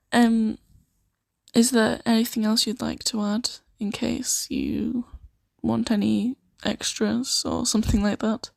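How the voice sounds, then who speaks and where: A woman improvising an ASMR roleplay as a kindly, earnest host of a fantasy sanctuary, speaking softly and reassuringly with some hesitation. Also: a little high, level tone, slow, gravelly, dry recording, heavily acted. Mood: sympathetic, careful. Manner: soft-spoken, gently hesitant, reassuring.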